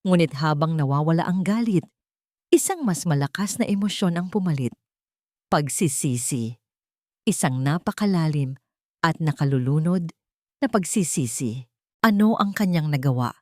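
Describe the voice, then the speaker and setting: A woman's voice: deep voice, darkish tone, no room sound, clean studio sound. A woman with a Filipino accent reading a dramatic love story as a scripted narration.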